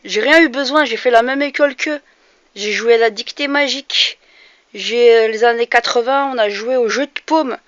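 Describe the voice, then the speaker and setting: A forceful, bright-toned woman in her forties from France, talking straight to camera with earnest conviction and plenty of hesitant fillers. Her voice sits a little high and bright. The recording is dry and a bit dull, like a lo-fi home setup. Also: normal speed, no room sound. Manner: earnest, grows heated and emphatic, frequent hesitations. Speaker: vlogger. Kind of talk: direct-to-camera opinion monologue.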